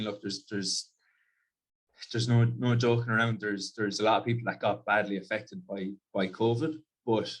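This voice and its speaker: Low-voiced Irish man, relaxed chat. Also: rough recording. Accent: Irish Midlands. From Ireland. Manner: frequent word repeats, casual phrasing. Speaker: company CEO guest. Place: video call.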